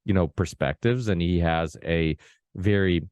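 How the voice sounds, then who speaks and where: A man with a very low voice hosts a podcast and talks in a loose, rambling way. He fills his speech with ums and restarts. The sound is close and dry. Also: unhurried, darkish tone, clear, resonant tone, dry recording. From Portugal. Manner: rambling, many fillers and restarts. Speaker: podcast host. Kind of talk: casual conversational chat.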